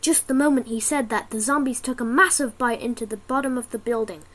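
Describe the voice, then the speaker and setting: A woman's voice: a little high, brisk, a bit bright. A woman narrating a scripted amateur radio drama as a storyteller.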